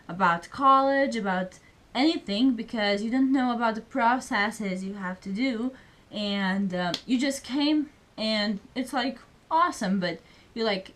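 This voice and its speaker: A woman's voice: fairly high pitch, a bit bright. Chatty young woman from Serbia. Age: young adult. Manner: chatty, many fillers, speaks English as a second language. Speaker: student vlogger. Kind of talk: casual vlog chat.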